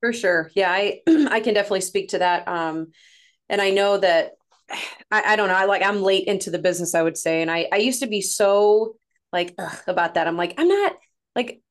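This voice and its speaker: A woman in her thirties with a Midwestern US accent, a podcast guest who talks in a chatty way, laughs often and gets lively when quoting others. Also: mid-range voice, normal speed. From Missouri, USA. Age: 30s. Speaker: guest, women's coach. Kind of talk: casual podcast interview.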